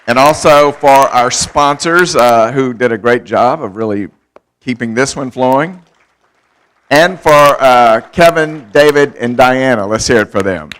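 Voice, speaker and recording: A man's voice: medium pitch, a bit bright, clear, resonant tone, a faint crowd. Joking male panel moderator. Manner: joking, quick. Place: conference hall.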